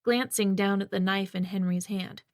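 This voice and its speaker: A woman reading a post-apocalyptic audiobook as its first-person narrator, in a measured storytelling voice. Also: medium pitch, fairly even intonation, no room sound.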